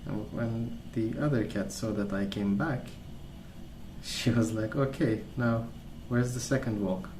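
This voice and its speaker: A man speaking softly in a calm, gentle, unhurried way, rambling in a casual ASMR storytelling style. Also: on the low side. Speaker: ASMR video creator. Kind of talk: soft-spoken ASMR rambling. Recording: roomy sound with clear background noise.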